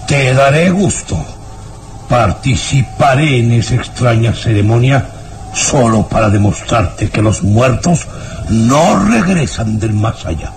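A man's voice: mid-range voice, vocal fry, background noise. A man playing a scornful, arrogant baron with a theatrical, derisive manner in an old radio drama. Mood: scornful mockery. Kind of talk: old Latin American radio drama acting.

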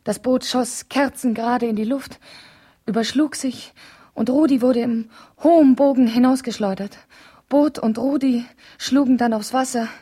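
Confiding wife with a mellow, husky voice played by an actress. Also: female voice, mid-range voice, natural voice. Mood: agitated. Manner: confiding, hesitant, thinking aloud. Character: wife writing an emotional letter to a trusted friend. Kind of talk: radio play letter monologue.

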